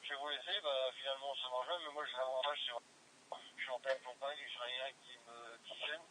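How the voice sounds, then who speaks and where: A man's voice: mid-range voice, steady tone, brisk. A man in informal, rambling radio chat, with a hesitant delivery. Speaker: amateur radio operator. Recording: noisy two-way radio recording.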